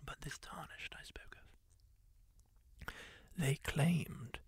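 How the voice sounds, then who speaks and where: A man voicing a kindly masked healer-warrior, telling tales in a slow, breathy ASMR whisper close to the mic. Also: fairly high pitch, dry recording, full character voice. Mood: hushed. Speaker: ASMR roleplayer. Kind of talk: whispered ASMR roleplay monologue.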